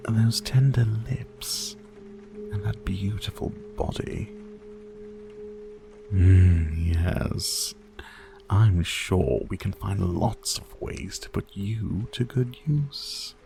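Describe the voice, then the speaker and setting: Man voicing a slow, silky sadistic vampire lord. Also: fairly low pitch, lively intonation, exaggerated voice. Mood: seductive, brooding. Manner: theatrical, silky, taunting, often dropping to breathy whispers. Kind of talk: scripted ASMR roleplay addressed to the listener. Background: faint music.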